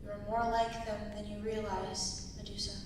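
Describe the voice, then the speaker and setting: A woman's voice: flat delivery, moderate pace, lightly acted. A young woman playing a proud, guarded princess in a staged reading, firm and terse. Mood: firm, pained. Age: young adult. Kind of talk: staged dramatic script reading. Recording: very echoey hall, distant mic, hiss and rumble.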